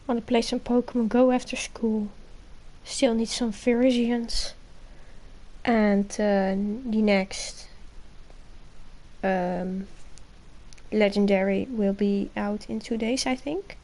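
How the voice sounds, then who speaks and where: A woman's voice: mid-range voice, fairly even intonation, slow, long pauses, dark tone. A young woman chatting casually and playfully as she live streams a game, rambling along to her viewers. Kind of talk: casual chat while playing a game. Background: game music.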